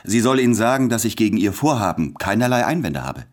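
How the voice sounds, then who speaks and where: A man's voice: fairly low pitch, sing-song, fast talker. A man playing a courteous, self-doubting, tender writer, reading his letters in a formal, hesitant, introspective way for a radio play.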